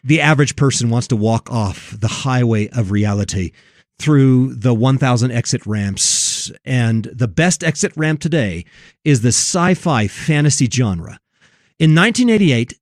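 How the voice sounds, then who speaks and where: Male talk host, mid-pitched with big melodic swings, preachy and emphatic, recorded dry and close. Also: dry recording. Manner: emphatic, preachy, repeats phrases for effect. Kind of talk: religious commentary on film and culture.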